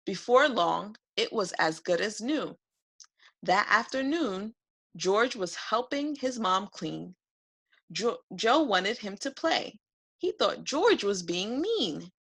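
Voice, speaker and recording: A woman reading a children's picture book aloud for preschoolers in an expressive narrating style. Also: medium pitch, slow pace, husky. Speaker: read-aloud host.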